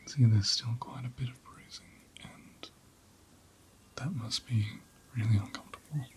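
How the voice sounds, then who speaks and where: A man voicing a gentle, protective head ram in an ASMR roleplay, speaking softly and soothingly, often in a breathy whisper. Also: lowish voice, slight background noise. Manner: slow, soothing, reassuring.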